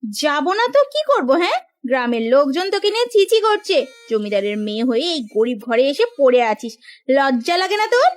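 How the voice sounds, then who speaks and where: A woman's voice: sits high, very animated, fairly quick. A woman voicing a haughty, scolding sister-in-law from a rich household in a melodramatic cartoon. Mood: scornful scolding. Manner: scolding, taunting.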